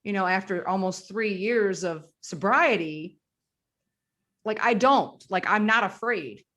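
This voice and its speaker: A woman's voice: medium pitch, a little slow. A woman in her forties hosting a candid, casual conversation, talking openly with plenty of fillers. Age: 40s. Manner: candid, animated, with frequent fillers. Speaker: podcast host.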